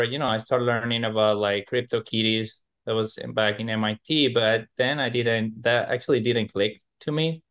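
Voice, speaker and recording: A man's voice: lowish voice, a bit bright, clear, resonant tone. A man from Venezuela, a podcast guest who rambles with lots of fillers and restarts. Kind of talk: casual podcast interview.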